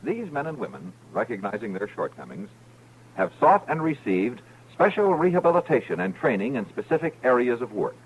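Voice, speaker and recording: Animated male 1950s radio announcer. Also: medium pitch, a little fast, slight background noise. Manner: formal, dramatic delivery. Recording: dull old radio recording. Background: music.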